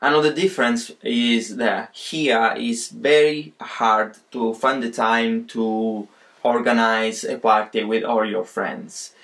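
Young Italian-accented man, flat and hesitant. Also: medium pitch, slow. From Sicily, Italy. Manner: hesitant, searching for words, flat delivery. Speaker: guest. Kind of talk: casual chat in a second language. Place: home room indoors.

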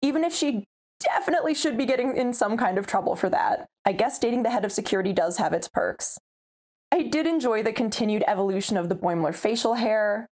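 Young woman, fairly high voice, wry, opinionated, scripted review narration at home. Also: expressive, a little fast, noisy background. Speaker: video reviewer. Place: home room with a starry backdrop.

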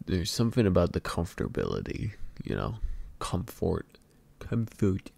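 A man playing an affectionate, clingy emo boyfriend in an intimate ASMR roleplay, murmuring tenderly and haltingly with a breathy, gravelly, very deep voice. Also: mellow, no room sound, exaggerated voice. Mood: playful, affectionate. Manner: tender, unhurried, halting and doting.

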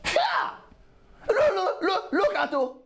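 Man playing a high, creaky Nigerian witch doctor. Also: huge pitch swings, slow pace, very noisy, full character voice. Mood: astonished. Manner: exclaiming, wailing, repeating himself. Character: envious, aggrieved village witch doctor, male, excitable, bumbling.

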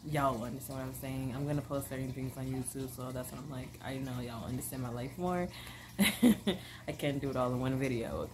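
A woman's voice: very deep voice, noisy background. A young woman vlogger chatting casually to camera from her living room, bubbly and rambling with lots of fillers. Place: small living room at home.

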